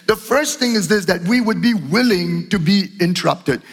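A middle-aged man preaching a sermon as pastor, earnest and repetitive, slightly hoarse from a cough. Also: sits high, lively intonation, moderate pace. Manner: earnest, repeats phrases, fillers and restarts. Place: church auditorium. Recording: long hall reverb.

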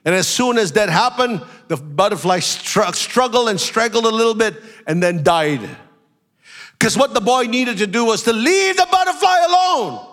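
Pastor guy preaching, kinda nasal from a flu, high voice, gets loud and drawn out on the big points. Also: animated. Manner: preaching, rising to shouts and slowing for emphasis. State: has a flu. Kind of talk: sermon.

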